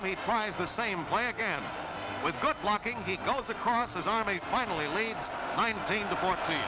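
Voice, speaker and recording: High-pitched American newsreel sportscaster, heavy noise. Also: male voice. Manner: punchy, dramatic present-tense phrasing. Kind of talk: 1940s newsreel sports commentary. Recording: vintage newsreel soundtrack, very noisy. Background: loud noise.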